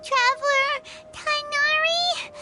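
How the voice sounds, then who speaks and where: A woman voicing a tiny, excitable fairy companion in a video game, childlike, squeaky and perky. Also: slow, exaggerated voice. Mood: flustered. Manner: speaks of herself in third person, perky. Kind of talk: animated video game acting. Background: game music.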